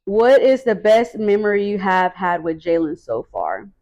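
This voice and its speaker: A woman around thirty talks casually about her life, soft-spoken and reflective. Her voice sits at an everyday middle pitch. The recording sounds slightly dull. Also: very animated, normal speed. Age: 30s. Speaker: vlogger, expecting mother. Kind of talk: casual couple Q&A chat.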